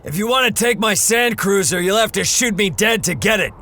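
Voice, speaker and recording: An actor playing a hard-bitten lone monster hunter in a science fiction audio drama, speaking in terse, dramatic lines. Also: male voice, high-pitched, lively intonation, fairly quick, pushed and bright, heavily acted. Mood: defiant. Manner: terse, self-narrating, dramatic.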